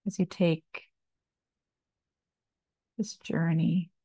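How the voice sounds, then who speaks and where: A woman meditation teacher guiding a body scan, speaking calmly and soothingly in short phrases with long pauses. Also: on the low side, breathy edge, no room sound. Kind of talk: slow guided meditation, body scan narration.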